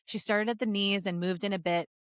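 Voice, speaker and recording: A woman's voice: medium pitch, steady tone, a little fast, clear, resonant tone, no echo. Giggly woman co-host, close dry recording. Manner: giggly, joking. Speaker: podcast co-host. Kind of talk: reading fan fiction aloud with casual comic asides.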